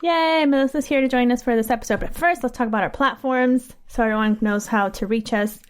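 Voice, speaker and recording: A woman's voice: fairly high pitch, fairly quick, smooth. A woman co-hosting a casual podcast who keeps the conversation moving in an upbeat way. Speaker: co-host.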